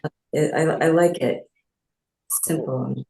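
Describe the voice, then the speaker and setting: Slow-talking woman in an echoey room. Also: deep voice, steady tone, gravelly, dark tone. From California. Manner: halting, searching for words. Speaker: painter at a meetup. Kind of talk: casual self-introduction.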